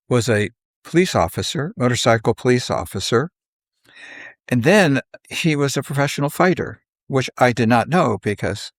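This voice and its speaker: A man's voice: mid-range voice, dry recording. Older man telling stories, close dry sound. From South Philadelphia, United States. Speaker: podcast guest. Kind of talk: conversational storytelling, reminiscing.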